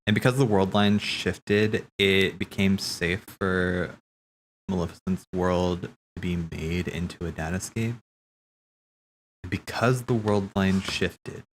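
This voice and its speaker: A man streaming casual, rambling theory commentary, thinking aloud haltingly, correcting himself and swearing casually. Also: low-pitched, steady tone, a little hiss or hum, rough recording.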